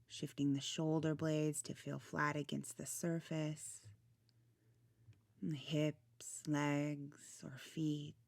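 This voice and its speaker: Slow, low, soft-voiced woman guiding meditation. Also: no room sound. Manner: soothing, unhurried, gentle phrasing with pauses. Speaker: meditation guide, channel host. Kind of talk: guided relaxation meditation.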